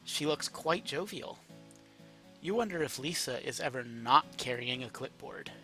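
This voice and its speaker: A man's voice: medium pitch, expressive, unhurried, pushed and bright, faint music behind. A man reading a second-person story aloud as an amateur narrator.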